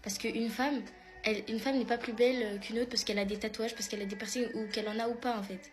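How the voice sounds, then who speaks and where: A woman's voice: on the high side, fairly even intonation, quick pace. Chatty teenage girl over background music. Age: teens. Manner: chatty, many fillers, addresses followers as "mes stars". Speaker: beauty pageant contestant vlogger. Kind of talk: get-ready-with-me vlog, answering follower questions. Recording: music playing under the voice.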